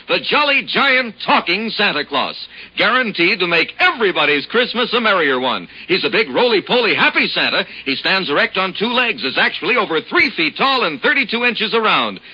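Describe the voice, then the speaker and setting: A man reading a hard-selling, brisk old radio advertisement. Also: high-pitched, bright, forceful tone, breathy edge, rough recording. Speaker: radio ad announcer.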